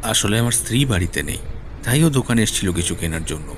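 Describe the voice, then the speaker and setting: A man's voice: lowish voice, expressive, fast talker, soft background music, natural voice. An actor playing a worried, middle-aged male householder in an audio drama, agitated and repeating words urgently. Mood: anxious explaining. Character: worried middle-aged householder, shocked and frantic about his servant. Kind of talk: Bengali audio drama acting.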